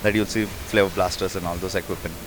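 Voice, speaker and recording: A man's voice: low-pitched, little pitch movement, quick pace, poor audio. A man who owns a bar, talking emphatically and boastfully in an interview. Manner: brash, emphatic promoter. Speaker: bar owner. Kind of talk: casual interview.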